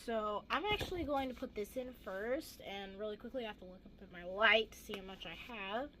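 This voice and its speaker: A teenage girl with a hoarse, gravelly voice, chatting casually and rambling through a crafting vlog with self-deprecating asides. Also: mid-range voice, fairly quick, noisy background. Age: teens. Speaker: craft vlogger.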